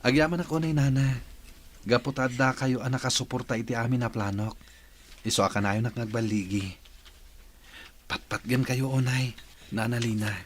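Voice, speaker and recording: A man's voice: mid-range voice, fairly even intonation, normal speed, long pauses, close to an everyday voice. Man acting a grown son, mid-range voice, eager, respectful, Ilocano accent, radio play, slight noise. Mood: grateful. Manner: respectful, earnest. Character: devoted, eager grown son with business plans.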